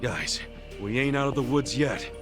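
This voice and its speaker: A man playing a gutsy, righteous, hot-headed low-ranking yakuza in a game cutscene, blunt and streetwise in his talk. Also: moderate pace, music in the background, natural voice. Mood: wary, probing. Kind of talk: dramatic game cutscene voice acting.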